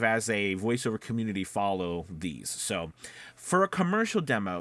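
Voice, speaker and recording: A man's voice: medium pitch, fairly bright tone, no room sound. A man hosting a casual instructional vlog as a coach, explaining conversationally and often checking in with the listener. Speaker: voice-over coach and video host.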